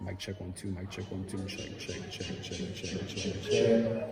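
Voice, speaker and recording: A young man in his late teens with a deep voice, casually explaining sound equipment in a theater booth. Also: measured pace, vocal fry. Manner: relaxed, chatty explaining. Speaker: student sound engineer.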